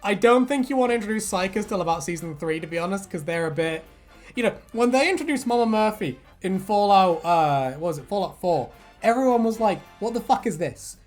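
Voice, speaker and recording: A woman talks as a video co-host in casual fan commentary. Her voice sits at a middle pitch and she moves through lively, wide-swinging intonation in a jokey, sweary manner, over background music. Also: fairly quick.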